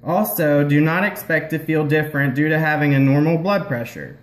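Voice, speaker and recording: A man's voice: on the high side, normal speed, lightly acted. A man playing a patient, explanatory health-care puppet in a scripted educational show. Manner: explanatory, instructional. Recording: roomy echo.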